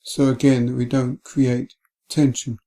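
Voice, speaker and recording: Male guided-meditation voice, soft-edged and relaxed, calm and unhurried, flat melody. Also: mid-range voice. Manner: gentle, calm, unhurried with long pauses. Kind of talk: guided body scan meditation.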